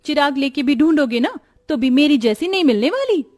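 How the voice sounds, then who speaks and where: A woman playing an innocent, timid young woman with a girlish manner in an animated story. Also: sits high, brisk. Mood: playful.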